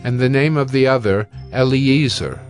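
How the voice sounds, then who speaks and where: A man narrating scripture for a dramatized reading in a measured, solemn manner. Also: mid-range voice, faint music behind.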